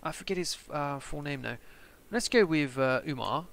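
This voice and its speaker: A young man chatting and joking as he plays through a strategy game for his channel, thinking out loud. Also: on the high side, animated, normal speed, creaky. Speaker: gaming channel host. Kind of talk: casual strategy game let's play commentary.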